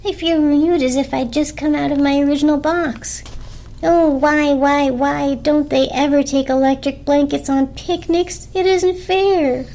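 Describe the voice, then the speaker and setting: A woman voicing a childlike, easily delighted and petulant electric blanket in a storybook, with drawn-out, emphatic gushing. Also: high-pitched, fairly even intonation, warm tone, pitched above the performer's own voice. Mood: petulant longing.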